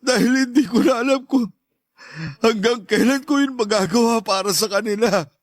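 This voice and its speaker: A man playing a stern, proud, dying father in a radio drama, speaking gravely and insistently. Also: very high voice, moderate pace, no echo, studio quality. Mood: grave, pleading resolve. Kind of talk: Tagalog radio drama acting.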